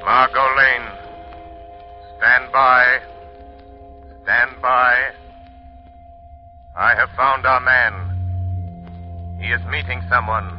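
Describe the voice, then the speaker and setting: A man acting a mysterious, commanding crime-fighter in an old radio drama, giving clipped, deliberate orders. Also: mid-range voice, steady tone. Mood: commanding, urgent. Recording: dull old radio recording, music behind.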